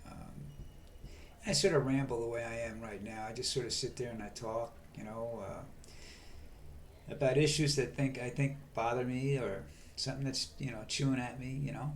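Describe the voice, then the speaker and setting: An older man hosting a rambling vlog monologue, with a monotone voice and a halting way of talking full of fillers and restarts. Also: mid-range voice, slight background noise. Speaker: vlog host.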